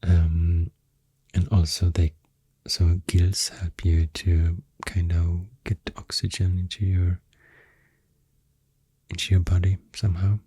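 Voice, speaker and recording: A man with a Swedish accent softly rambling through an ASMR explanation, breathy and often near a whisper, soothing and hesitant in manner. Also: deep voice, little pitch movement, slow pace, no echo. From Sweden. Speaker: ASMR host.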